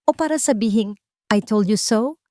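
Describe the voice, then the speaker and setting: A woman's voice: mid-range voice, animated, moderate pace, mellow, no echo, studio quality, pitched above the performer's own voice, natural voice. A woman voicing a desperate, sarcastic businesswoman. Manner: shaky, sarcastic.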